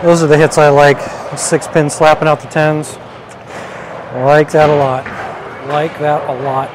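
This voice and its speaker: A man's voice: fairly high pitch, flat delivery, unhurried. A middle-aged man giving a casual product review as he demonstrates, talking inside a large bowling alley. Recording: noisy bowling alley ambience.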